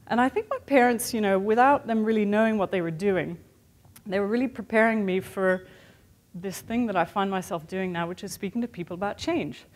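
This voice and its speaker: A woman's voice: mid-range voice, a little fast. Woman speaker with a mixed international accent. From born in the United States, raised in Europe and Asia. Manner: conversational and candid, with many "sort of" and "you know" fillers. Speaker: keynote speaker. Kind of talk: motivational keynote talk. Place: hotel conference room with an audience.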